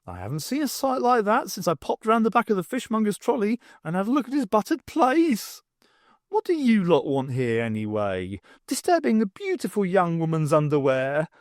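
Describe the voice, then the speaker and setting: A man's voice: sits high, wildly swooping pitch, normal speed, no echo, studio quality, pitched above the performer's own voice. A man voicing a brash, cheeky old female pantomime dame in an audiobook, with a saucy, indignant manner. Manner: saucy, innuendo-laden, indignant.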